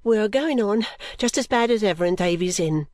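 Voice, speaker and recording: A woman voicing a sulky, overworked young woman who complains abruptly and breaks into pitched outbursts. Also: expressive, brisk, a little mellow, no room sound, clean studio sound, close to an everyday voice. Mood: aggrieved.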